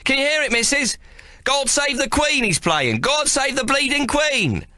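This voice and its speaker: A man voicing a rowdy young lad who shouts coarsely over a din. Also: very high voice, sing-song, a little slow, dry recording, studio quality, voice pushed up, exaggerated voice. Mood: excited.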